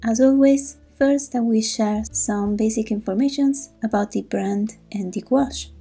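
A Swiss woman with an Italian accent, a native Italian speaker, calmly explaining an art supply review in a voice-over. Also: fairly high pitch, slightly rough. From Switzerland. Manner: calm, explanatory, a little hesitant. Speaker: art channel host.

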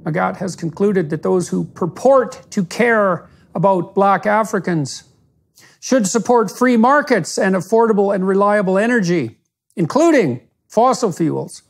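A middle-aged man hosting an interview, reading a measured, formal introduction of his guest. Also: high-pitched, expressive, gravelly. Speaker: interviewer host.

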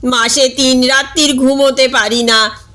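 A woman's voice: high voice, steady tone, a little slow, bright, forceful tone, faint music behind. A woman voicing a melodramatic, ailing mother-in-law who laments and accuses in a comic story. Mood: anguished lament. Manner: lamenting, theatrical.

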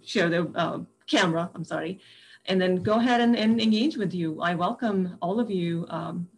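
Effusive woman hosting a webinar. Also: on the low side. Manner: effusive, repeats "right?". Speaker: webinar host. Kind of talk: hosting remarks.

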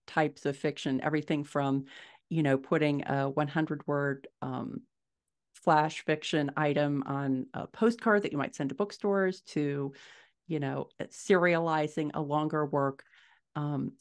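A middle-aged woman explaining things conversationally as a guest author in an interview. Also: low voice. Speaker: podcast guest, fiction author. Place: indoors at home, small furnished room. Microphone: video call.

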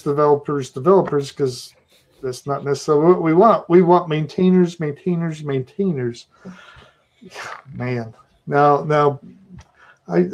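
A middle-aged man presents slides over a video call in a loose, chatty way. His voice sits a little higher than most men's, and he keeps the talk casual and good-humored. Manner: relaxed, chatty, laughs at himself.

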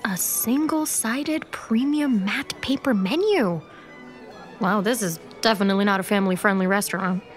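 A woman playing an excitable, wisecracking sister in a romantic comedy audio drama, breezy and exclamatory. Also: mid-range voice, moderate pace, soft background music, close to an everyday voice. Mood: wry, breezy. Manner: breezy, exclamatory, comic asides. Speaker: actress in a podcast drama.

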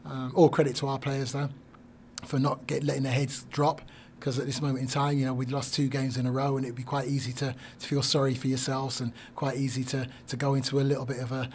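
A man, a head coach at a post-game press conference, speaking in a measured, thoughtful way with many fillers and restarts. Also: mid-range voice, a little mellow, a little hiss or hum. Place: small press room. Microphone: desk mic on stand.

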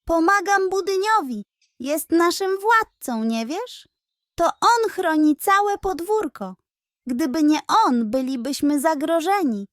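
A woman's voice: very high voice, lively intonation, takes it slowly, no echo, studio quality, pitched above the performer's own voice, exaggerated voice. A woman voicing a young, trusting and naive female piglet, eager and admiring, in a children's story. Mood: earnest, proud.

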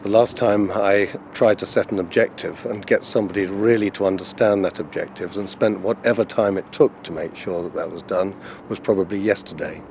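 A middle-aged man who heads a company gives measured, reflective interview answers. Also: low voice, fairly even intonation, gravelly, a little mellow, a little hiss or hum. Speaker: company chairman.

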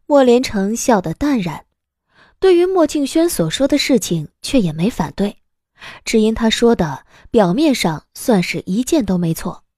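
Mellow, lively woman narrator. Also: a little high, moderate pace, dry recording, clean studio sound. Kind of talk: scripted audiobook narration.

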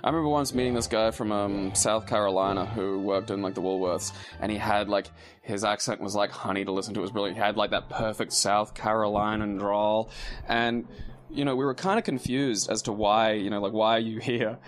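A man's voice: on the low side, fairly even intonation, fairly quick. A young Australian man commentating a video game playthrough with a friend, cracking crude jokes and laughing often. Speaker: let's play commentator. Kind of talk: casual gaming banter. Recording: faint game music behind.

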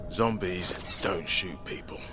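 A man's voice: low voice, slow, music in the background. A man playing a cocky, theatrical survivor hero in a video game, with a wry, dramatic delivery. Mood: wry swagger. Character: cocky, theatrical male zombie-apocalypse survivor turned hero.

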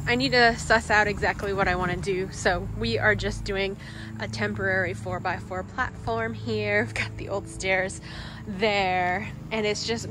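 A middle-aged woman chatting casually and excitedly as she films herself outdoors at a house site with a handheld phone. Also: medium pitch, background noise. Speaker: vlogger renovating a farmhouse. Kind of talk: casual walkthrough chat. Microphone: phone held at arm's length.

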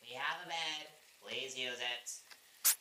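A man's voice: medium pitch, takes it slowly, bright, forceful tone, a little hiss or hum. A man playing an easygoing, teasing young reporter boyfriend in an audio roleplay, talking casually and with playful stubbornness. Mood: playful, fond.

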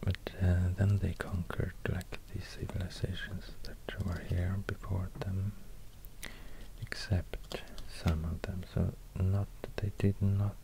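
A man with a Swedish accent, a native Swedish speaker, speaking soft ASMR narration in a halting, musing, breathy near-whisper. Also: sits low, flat delivery. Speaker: ASMR video creator. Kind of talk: soft-spoken ASMR narration while drawing. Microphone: close binaural microphone. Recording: audible noise, soft clicks.

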